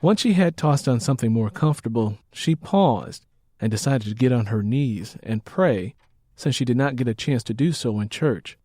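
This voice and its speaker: A man's voice: medium pitch, lively intonation, moderate pace, dark tone, no room sound. A man narrating a story as scripted audiobook prose.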